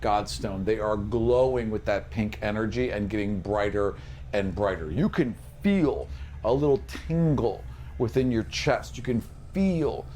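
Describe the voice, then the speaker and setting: A man working as a game master, describing scenes for a tabletop fantasy game in short, halting phrases. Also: lowish voice, lively intonation, darkish tone, a little hiss or hum. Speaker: game master and podcast host.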